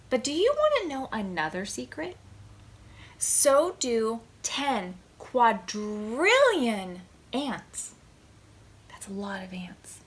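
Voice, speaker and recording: Slow-paced woman reading to young children. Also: a little high. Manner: deliberate, expressive phrasing with emphasis for small children. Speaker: children's librarian hosting preschool storytime. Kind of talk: read-aloud of a picture book for young children.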